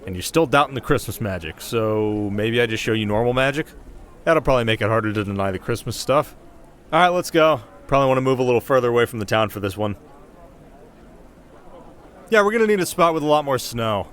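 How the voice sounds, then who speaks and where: A man's voice: mid-range voice, expressive, fairly quick, a bit bright, natural voice. A man playing a cocky, playful winter spirit in an ASMR roleplay, speaking straight to the listener in flippant, sarcastic, casual banter.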